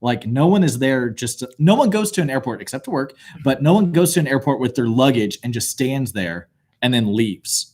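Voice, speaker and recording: Chatty animated man in his thirties. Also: mid-range voice, brisk. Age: 30s. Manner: chatty and laughing often. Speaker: pastor. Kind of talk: casual church livestream chat.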